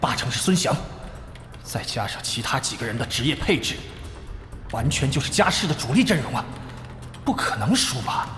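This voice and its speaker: A young guild leader in an online-game audio drama, scheming and vengeful. His animated voice sits at a middle pitch and rings with echo over faint music. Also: moderate pace, pauses often. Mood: anxious. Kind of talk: Chinese audio drama acting. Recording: echoey hall reverb, faint music.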